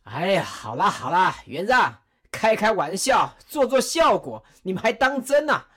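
A woman's voice: medium pitch, sing-song, measured pace, a bit bright, husky, exaggerated voice. A woman playing a jovial, teasing male warlord chancellor in an audio drama, chuckling and mock-stern.

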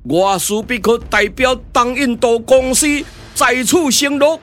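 Cowardly puppet-show commander, taiwanese accent, super high sharp voice, blustery and stammery. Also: male voice, a little slow. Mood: humbled. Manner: stammering, theatrical. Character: blustering, cowardly Dutch commander, grown man. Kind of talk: Taiwanese glove-puppet radio drama. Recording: music under the voice.

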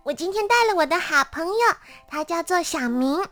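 A woman voicing a cute, lively little girl who is sociable, gushing and polite. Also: very high voice, fairly quick, soft background music, voice pushed up. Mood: excited, friendly. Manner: bubbly, gushing, childlike.

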